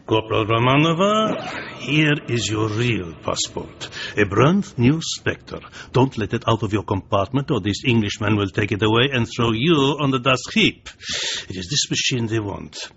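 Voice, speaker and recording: An actor playing a stern, commanding Soviet intelligence director in a radio drama, slow and menacing. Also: male voice, mid-range voice, expressive, fairly bright tone. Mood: stern, threatening. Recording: dull lo-fi recording, faint noise.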